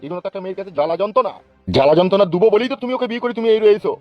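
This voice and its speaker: Casting: male actor as blustering, threatening politician for comic cartoon, highish pitch, very fast, dry lo-fi sound. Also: lively intonation, no room sound. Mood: angry, blustering. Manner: scolding, cursing, blustering. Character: angry foul-mouthed male village politician, bullying and threatening. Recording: dull lo-fi, music behind.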